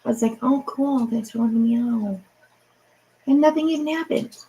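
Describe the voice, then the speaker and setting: A woman's voice: on the high side, normal speed, dark tone, low-quality recording. A middle-aged woman hosting a casual livestream, chatting in a rambling, informal way with some mild swearing. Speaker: live stream host. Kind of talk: casual late-night livestream chat.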